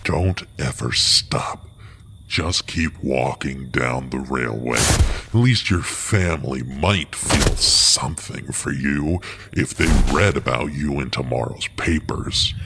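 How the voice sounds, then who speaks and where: An actor playing a man's cruel inner voice of self-doubt, slow and mocking, in an audio drama. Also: extremely low pitch, gravelly, bright, forceful tone, slight background noise, exaggerated voice.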